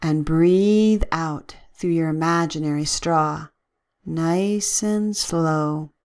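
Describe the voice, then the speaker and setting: Very slow, lowish-voiced woman guiding meditation. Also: clear, resonant tone. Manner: gentle, soothing, measured instructions with long pauses. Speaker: guided relaxation instructor.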